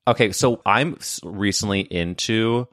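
Young male podcast host, deep voice, eager chatty interviewer. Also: fairly bright tone, no echo, studio quality. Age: 20s. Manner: effusive, quick asides, repeats words. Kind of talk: casual interview chat.

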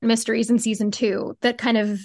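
A woman with a fairly high-pitched voice talks as an interview guest. She explains her process casually and thoroughly, in chatty phrasing. The sound is very dry and close, with no room on it. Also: flat delivery, fairly quick, a little mellow, no room sound. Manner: explanatory, informal, peppered with "like" and "cool". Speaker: podcast guest, audio drama co-creator. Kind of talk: casual interview conversation about craft.

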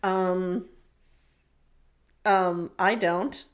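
A woman co-hosting a podcast in casual chat, chatty, joking about herself and quick to agree. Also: fairly low pitch, steady tone. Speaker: podcast co-host.

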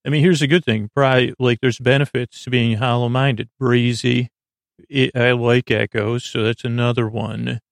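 A man with a creaky voice hosting a sleep podcast, meandering and hesitant as he drifts into tangents to lull listeners. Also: medium pitch, steady tone, normal speed, no room sound. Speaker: podcast host. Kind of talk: rambling bedtime monologue meant to lull listeners to sleep.

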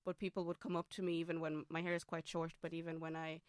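Low smooth voice, austrian german accent, late 20s, lots of ums and restarts, dry close sound. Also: female voice, completely flat delivery, no echo. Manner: thoughtful, halting, many fillers and restarts. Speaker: guest. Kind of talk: casual interview chat.

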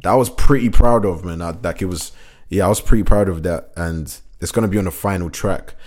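Deep voiced young guy rambling about his music, loose and slangy, lots of likes and ums. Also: a little fast, darkish tone. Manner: laid-back, rambling, slangy, many fillers. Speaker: podcast host, musician. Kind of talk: casual solo chat.

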